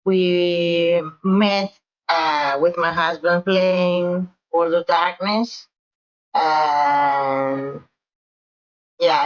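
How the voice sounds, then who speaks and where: A woman's voice: on the low side, muffled recording. A woman introducing herself as a player, chatty and eager. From Greece. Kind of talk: casual self-introduction.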